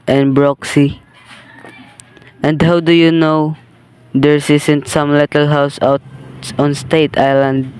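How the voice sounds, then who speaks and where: A young actor voicing a doubting police sergeant who picks apart a caller's worries, his voice smooth and slightly high. He sounds dismissive throughout this amateur radio play. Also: a little mellow, poor audio. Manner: dismissive, questioning. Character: skeptical male police sergeant.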